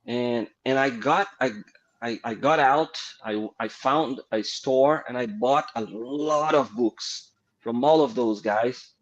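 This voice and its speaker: A middle-aged man from Brazil with a Brazilian accent, a guest artist telling animated, laughing anecdotes in an interview. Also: mid-range voice, takes it slowly, lo-fi sound. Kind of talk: casual storytelling interview.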